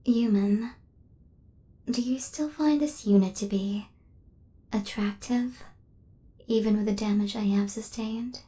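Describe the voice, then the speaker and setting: A woman playing an injured, devoted female killer robot in an intimate ASMR roleplay, with a soft, breathy half-whisper and formal, precise phrasing. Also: on the high side, slow, pauses often. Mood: tender, attached.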